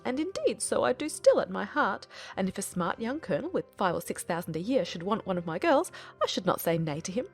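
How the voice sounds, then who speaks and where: An actress playing an excitable, silly middle-aged mother in a dramatized audiobook, fussy and gushing. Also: mid-range voice.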